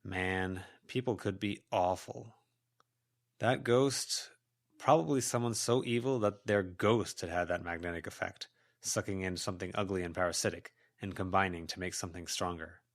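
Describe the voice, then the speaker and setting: A man with a fairly low voice narrates a fantasy story. He reads from a script as a volunteer audiobook narrator. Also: normal speed.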